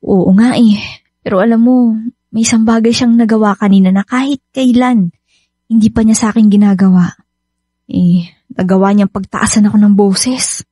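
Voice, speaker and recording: A woman's voice: medium pitch, steady tone, normal speed, pauses often, warm tone, husky, dry recording, close to an everyday voice. A Filipino-accented woman acting in a radio drama as a hurt, suspicious young wife and mother who keeps insisting. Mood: worried, frustrated.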